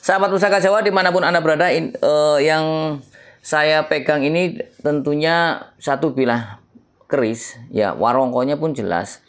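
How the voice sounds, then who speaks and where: A man with a stuffy nasal voice, hosting his own keris channel. He opens with long prayers, then explains a blade in a measured way, with pauses and fillers. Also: fairly high pitch. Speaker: keris collector and channel host. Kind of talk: slow devotional-toned explanation to camera.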